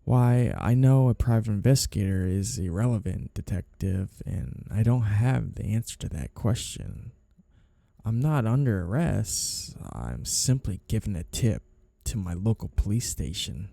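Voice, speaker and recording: A man performs a sly, two-faced mafia boss in a period gumshoe ASMR roleplay. He speaks slowly in a low, very velvety dark voice. His manner is flirtatious and insinuating, full of mock innocence, recorded close and dry. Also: lively intonation, gravelly, no room sound. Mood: sly, measured. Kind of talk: ASMR roleplay, noir period-piece monologue to a listener.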